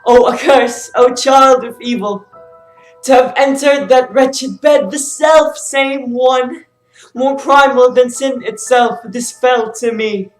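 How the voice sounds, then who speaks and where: A fallen, blinded king of Greek tragedy, anguished and cursing his lot, acted by a woman. The delivery is slow and theatrical, in an echoing space with faint music underneath. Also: high-pitched. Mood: bitter. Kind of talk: dramatic classical tragedy reading.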